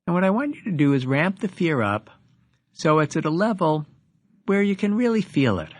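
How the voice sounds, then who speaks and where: A man leading a guided meditation as a teacher, giving instructions with deliberate pauses between phrases. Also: a little high, big pitch swings, no room sound.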